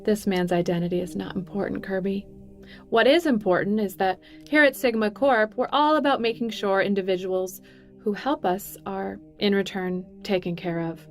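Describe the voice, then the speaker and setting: A woman acts out a cool, calculating businesswoman in an audio drama. She gives orders with smooth, condescending authority at a middling pitch. Music plays clearly behind her. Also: moderate pace, natural voice. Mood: smoothly commanding. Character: cool, calculating, commanding businesswoman, pregnant corporate boss.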